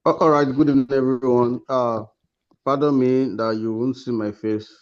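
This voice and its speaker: A man presents a ceremony online in a formal style. His voice is mid-pitched and comes through dull, lo-fi call audio. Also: fairly even intonation, normal speed. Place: video call.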